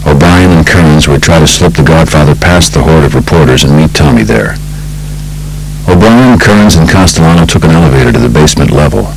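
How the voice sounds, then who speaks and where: A man with an American accent reading a true crime audiobook in a measured, polished storytelling manner. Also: very deep voice, expressive, faint music behind, low-quality recording. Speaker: audiobook narrator. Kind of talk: scripted narration of true crime book.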